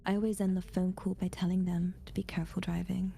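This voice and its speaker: A woman's voice: on the low side, level tone, fast talker, a little hiss or hum, pitched below the performer's own voice. A woman voicing an anxious eighty-two-year-old in a whispered, solemn scary-story confession for ASMR. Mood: uneasy, resigned. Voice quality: breathy, hushed whisper. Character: anxious 82-year-old grandparent haunted by mysterious sympathy cards.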